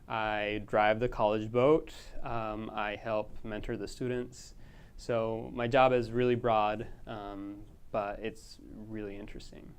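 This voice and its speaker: A man from Costa Rica, who directs a research center, talks with enthusiasm about sea creatures. His voice is smooth and resonant and sits fairly low. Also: flat delivery, slow. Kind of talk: interview about his work.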